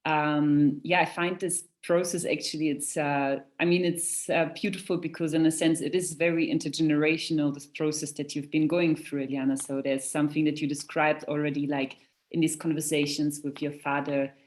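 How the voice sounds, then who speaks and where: A woman with a notably low voice moderates an online seminar over a video call. She speaks gently and invitingly, with plenty of fillers, as she guides the discussion. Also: flat delivery, moderate pace. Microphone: video call microphone.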